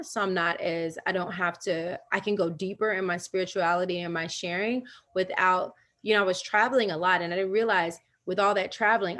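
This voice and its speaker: A woman's voice: lowish voice, fairly bright tone. A woman leading a live virtual wellness workshop from home over a video call, talking in a chatty, personal way and laughing often.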